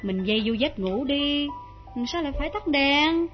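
An actress playing a dignified, long-suffering young wife in a stage melodrama, measured and plaintive, with a southern Vietnamese accent. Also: female voice, high voice, animated. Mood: gentle, restrained. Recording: dull lo-fi recording over music.

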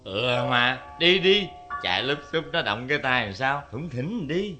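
A husband with a bright, forceful voice who swings between soft coaxing and harsh, bullying shouts. Faint music sits underneath. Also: male voice, medium pitch, sing-song, a little slow. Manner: coaxing, then harsh yelling. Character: weak-willed husband who turns violent.

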